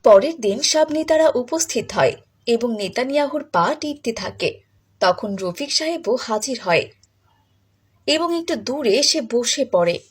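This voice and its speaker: A woman narrates a scripted story in a high voice. There is a noticeable husky, breathy edge to it. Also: expressive, unhurried.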